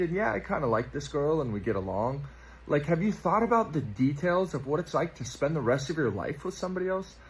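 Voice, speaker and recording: A man in his thirties giving a reflective, measured monologue as a guest on a livestream. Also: medium pitch, poor audio. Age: 30s. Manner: thoughtful, measured.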